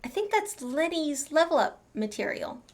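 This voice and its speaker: High-pitched, playful woman streaming a game. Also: big pitch swings, moderate pace, heavy background noise. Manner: playful, chatty. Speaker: gaming streamer. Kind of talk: casual playthrough commentary, reading along and reacting. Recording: game music behind the voice.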